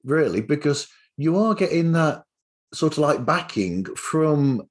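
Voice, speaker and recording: A man's voice: on the high side, big pitch swings, a little slow. A man hosting a podcast, asking casual, rambling questions in a tentative way. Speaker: podcast host, interviewer. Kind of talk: casual interview chat.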